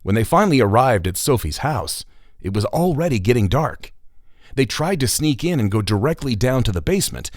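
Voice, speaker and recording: A man's voice: mid-range voice, very animated, brisk, no room sound, clean studio sound. A man narrating a scripted children's story as the narrator.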